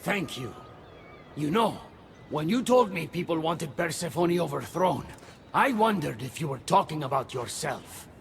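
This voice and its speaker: Gravelly, high-pitched devoted messenger god. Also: male voice, big pitch swings, unhurried, fairly bright tone, a little hiss or hum, heavily acted. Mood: earnest. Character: eager, devoted male messenger god, earnest and flattering. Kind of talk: video game cutscene acting. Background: faint background music.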